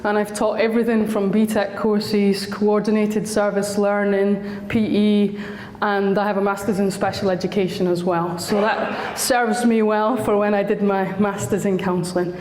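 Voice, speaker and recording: A woman's voice: mid-range voice, flat delivery, moderate pace, a little mellow, slight background noise. A Scottish woman working as a school counsellor, giving a friendly, chatty talk to parents with a slightly sore throat. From Scotland. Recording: echoey hall recording.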